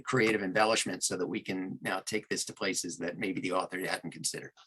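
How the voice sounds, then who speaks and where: A man's voice: sits low, steady tone, fairly quick, fairly bright tone, slightly rough, poor audio. A man talking conversationally as an interview guest, explaining his craft in an enthusiastic, expansive and emphatic manner. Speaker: interview guest, audiobook narrator and producer.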